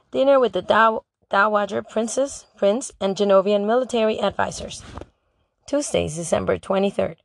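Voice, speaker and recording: A woman's voice: mid-range voice, a little mellow, no room sound, close to an everyday voice. Woman reading as a wry teenage princess diarist. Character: sarcastic, anxious teenage princess writing her diary. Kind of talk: amateur audiobook reading of a first-person diary.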